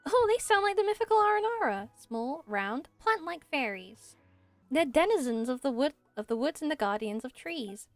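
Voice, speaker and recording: A woman's voice: sits high, sing-song, moderate pace, no echo, soft background music. A woman voicing an earnest, dutiful young female forest ranger in a fantasy game, explaining things carefully.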